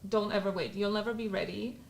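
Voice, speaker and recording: A woman's voice: medium pitch, steady tone, quick pace, creaky, a little hiss or hum. A Polish-born woman with a Polish accent, chatting candidly as a guest podcast co-host and laughing often. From Poland. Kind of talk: casual chat.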